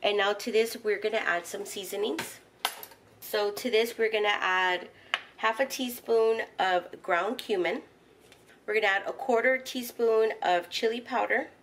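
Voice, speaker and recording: Woman, middle-aged, home cooking host, step-by-step instructions, relaxed chatty delivery, faint background noise. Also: medium pitch, measured pace. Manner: instructional, walks through each step with fillers. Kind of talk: casual step-by-step cooking narration. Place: home kitchen.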